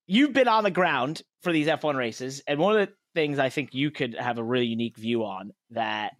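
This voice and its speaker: A man's voice: mid-range voice, expressive, moderate pace, no echo, studio quality. A man co-hosting a podcast, asking long rambling questions in a chatty way. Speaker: podcast co-host. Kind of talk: casual podcast chat.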